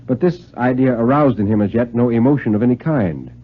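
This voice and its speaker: A man reading a thriller story in a dramatic 1950s radio style, with suspenseful delivery and deliberate pauses. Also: medium pitch. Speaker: narrator and dramatic reader. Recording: muffled, band-limited 1950s radio recording.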